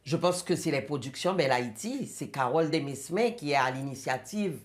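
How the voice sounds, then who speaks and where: A woman's voice: low voice, lively intonation, normal speed. A middle-aged woman with a Haitian accent explaining her work as a guest in a conversational interview. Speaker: show creator, interview guest.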